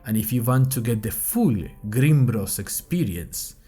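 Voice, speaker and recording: A man's voice: medium pitch, animated, measured pace, mellow, husky, soft background music. A man playing a grandiose university director, giving a theatrical, solemn welcome speech in an audio drama. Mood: solemn, imposing. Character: grandiose university director addressing new students.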